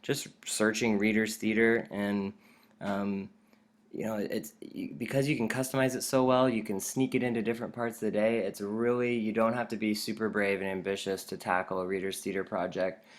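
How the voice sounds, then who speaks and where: A man's voice: lowish voice, fairly even intonation, moderate pace. An American man speaking as a teacher, giving an informal instructional presentation in an encouraging manner.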